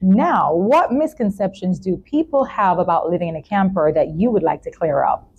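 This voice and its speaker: A woman's voice: lowish voice, expressive, normal speed, vocal fry, a little mellow, dull audio, slight background noise. An American woman interviewing conversationally as a podcast host, with an encouraging, affirming manner. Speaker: talk show podcast host.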